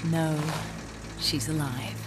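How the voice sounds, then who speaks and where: An intent video game scientist with a slightly low voice. She is gentle but insistent, almost pleading. Clear music and an engine sit behind her. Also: steady tone, takes it slowly. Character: soft-spoken, obsessive female scientist, gently insistent. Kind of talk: dramatic video game acting.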